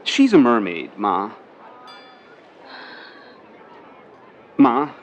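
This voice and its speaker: A man playing an eager, lovestruck young son in a 1950s New York audio drama, boyish and halting in his excitement. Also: lowish voice, some chatter behind. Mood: proud, smitten. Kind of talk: vintage-set audio drama acting.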